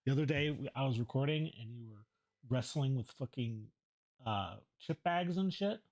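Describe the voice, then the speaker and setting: Annoyed guy just talking, kinda scolding, raspy creaky voice. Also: mid-range voice, animated, moderate pace, a little mellow. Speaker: video creator, voice performer. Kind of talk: casual off-script chat.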